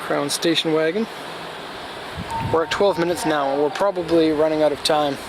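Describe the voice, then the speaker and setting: Higher-voiced man vlogging over loud outdoor noise. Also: fairly even intonation, normal speed. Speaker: host of car auction walkaround video. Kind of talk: casual off-the-cuff vlog commentary. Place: outdoors at a car auction lot.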